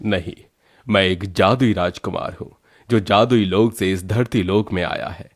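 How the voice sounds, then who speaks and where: A man voicing a benevolent magical prince in a cartoon story, calm, assured and formal. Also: deep voice, measured pace, dry recording, studio quality. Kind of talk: Hindi cartoon story acting.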